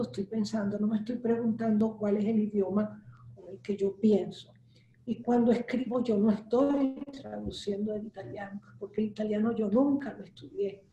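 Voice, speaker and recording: Older lady from italy on a video call panel, very dark voice, echoey dull audio. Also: medium pitch, a little hiss or hum. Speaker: writer, panelist. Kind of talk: reflective discussion.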